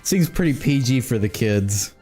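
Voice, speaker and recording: A man's voice: mid-range voice, expressive, moderate pace, mellow. A man hosting a casual gaming livestream, chatting playfully and joking as he plays. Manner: playful, jokey. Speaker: livestream host playing a game. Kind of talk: casual gaming stream chat. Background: music.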